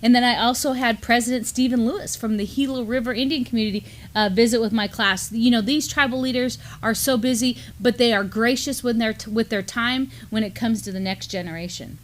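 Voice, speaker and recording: A woman's voice: a little high, fairly even intonation, fairly quick, few pauses. Earnest woman co-hosting a news show. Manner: earnest, filler-heavy. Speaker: co-host, university teacher. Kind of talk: news discussion. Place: studio with mottled backdrop.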